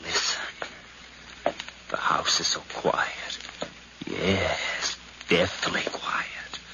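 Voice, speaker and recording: Low, hushed, anxious would-be murderer. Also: male voice, expressive, vocal fry, in a whisper, full character voice. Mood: menacing, intense. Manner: hushed, trembling, slow brooding delivery. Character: anxious, murderous nephew plotting by night. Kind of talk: 1950s radio drama acting. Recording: noisy 1951 radio recording.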